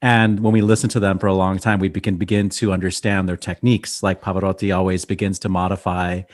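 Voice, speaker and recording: Lower-voiced enthusiastic male co-host. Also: flat delivery, quick pace. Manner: enthusiastic, rambling questions. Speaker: podcast co-host. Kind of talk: casual interview chat.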